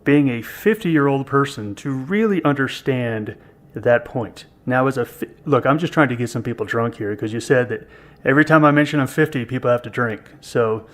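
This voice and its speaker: A man's voice: mid-range voice, normal speed. A man in his fifties co-hosting a podcast, chatty and self-deprecating. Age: 50s. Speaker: podcast co-host. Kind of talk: casual podcast conversation.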